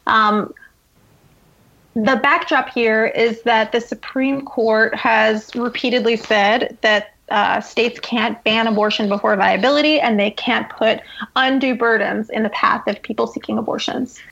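A woman's voice: a little high, fairly even intonation, measured pace, vocal fry, fairly bright tone, lo-fi sound. A woman guest journalist giving conversational, analytical political commentary in an explanatory way.

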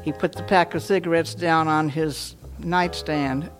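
A woman's voice: low-pitched, steady tone, a little slow, over music. An older woman, interviewed, recalling her life in a firm, matter-of-fact way. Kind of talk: interview recollection.

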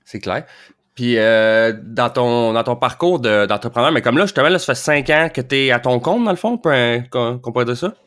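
Man, Québécois accent, podcast host, relaxed, rambling, medium pitch. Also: moderate pace. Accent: Québécois French. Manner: relaxed, rambling questions with many restarts. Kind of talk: casual podcast interview.

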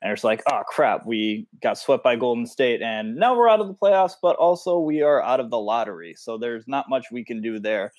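A man's voice: mid-range voice, moderate pace, few pauses, darkish tone, no room sound. A man co-hosting a casual sports podcast, rambling through his points with lots of fillers. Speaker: podcast co-host.

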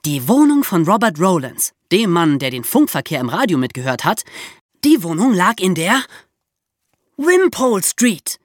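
A woman with a fairly low voice that moves around a lot in pitch. She co-hosts a true-crime podcast and explains things like a storyteller, in a dry, close recording. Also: very animated, normal speed, dry recording. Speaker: podcast co-host.

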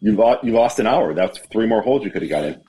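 Teasing low-voiced man with a head cold. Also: expressive, quick pace, warm tone. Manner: joking, teasing, swears casually. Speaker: podcast host. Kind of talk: casual podcast chat.

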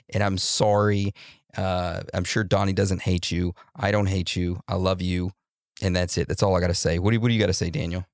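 A man's voice: on the low side, no echo, studio quality. A young man hosting a casual podcast, rambling and laughing easily as he answers listener messages. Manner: warm, rambling, laughs often. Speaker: podcast host.

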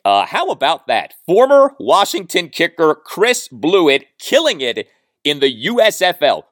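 A man hosting a sports podcast solo, speaking in emphatic, deliberate phrases with heavily stressed words. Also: a little high, fairly bright tone, no room sound, clean studio sound. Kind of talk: sports commentary monologue with read-out listener mail and ad reads.

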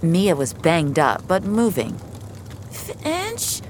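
A woman's voice: sits low, lively intonation, a little slow, noisy background. A woman giving a dramatic scripted narration of a fiction story.

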